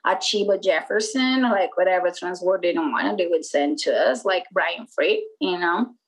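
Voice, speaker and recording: A woman's voice: on the low side, normal speed, low-quality recording. Brazilian-accented woman in her forties, chatty. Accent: Brazilian Portuguese. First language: Portuguese. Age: 40s. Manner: rambling, many fillers like "like" and "you know". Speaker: skateboarder and former magazine publisher. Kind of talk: casual interview conversation.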